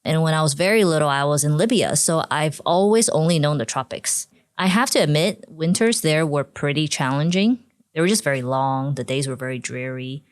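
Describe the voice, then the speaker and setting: A woman's voice: low voice, fairly quick, dry recording, studio quality. A woman from Taiwan, a podcast guest, chatting casually about her student years and often dropping "like" into her sentences. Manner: chatty, frequent "like" fillers, laughs easily.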